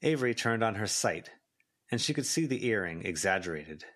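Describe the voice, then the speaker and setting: A man's voice: lowish voice, big pitch swings, brisk, fairly bright tone. A man reading scripted fiction as a volunteer audiobook narrator.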